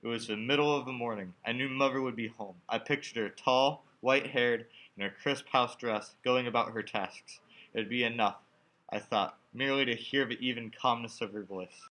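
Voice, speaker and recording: A man's voice: mid-range voice, normal speed, sharp tone, close to an everyday voice, poor audio. A young man playing a haunted, frightened traveler in a radio play, telling his story in a brooding, dramatic way. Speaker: student actor. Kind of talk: radio play acting, dramatic first-person narration.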